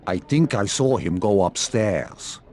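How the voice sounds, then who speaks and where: Slow-talking, wry male piano player. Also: on the low side, expressive, mellow. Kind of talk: video game cutscene acting.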